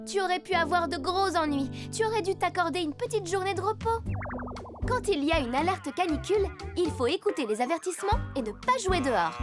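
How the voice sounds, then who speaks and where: Very high, lively sweet cartoon girl. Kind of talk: French-dubbed children's cartoon.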